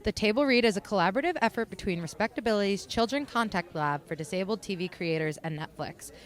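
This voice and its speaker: A woman's voice: medium pitch, expressive, fast talker. An American woman reporter delivering a scripted news report.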